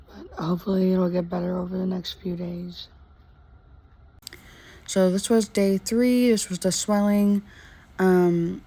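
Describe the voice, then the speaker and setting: A woman vlogger talking through her own recovery diary in a casual, halting way, with many pauses and fillers. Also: fairly low pitch, a little mellow.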